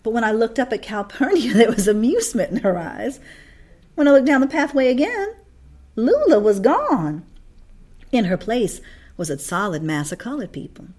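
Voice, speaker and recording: A woman narrating a novel as a read-along, in an expressive storytelling style. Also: on the high side, moderate pace.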